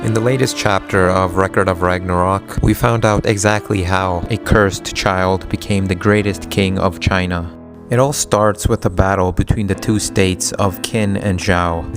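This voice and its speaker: Low-voiced man narrating over music. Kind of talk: scripted recap narration. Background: music with guitar.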